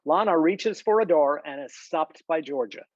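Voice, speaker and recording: A narrator reads scene headings and stage directions from a script. The pitch is medium. The sound is dry, with the slightly dull, lo-fi quality of a video call. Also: lively intonation, moderate pace, no echo.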